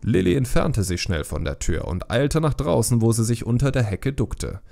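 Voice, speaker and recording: A man's voice: mid-range voice, very animated, normal speed, gravelly, dark tone, soft background music. A man reading a scripted fantasy story as an audiobook narrator.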